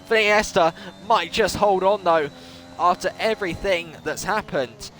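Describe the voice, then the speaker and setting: A man giving excitable live play-by-play commentary on an online racing series, rising sharply in pitch at the big moments. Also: a little slow. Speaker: lead race commentator.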